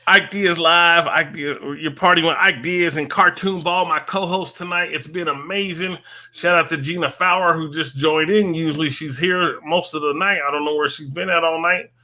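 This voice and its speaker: A man's voice: fairly high pitch, steady tone, normal speed, pushed and bright. A man hosting a live stream in a casual chatty way, often hesitating and repeating his words.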